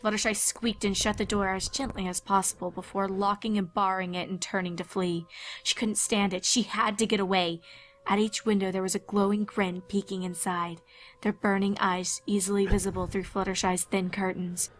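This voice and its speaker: A woman's voice: mid-range voice, little pitch movement, moderate pace. A woman reading a scripted horror story as a dramatic narrator. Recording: faint music behind narration. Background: faint music.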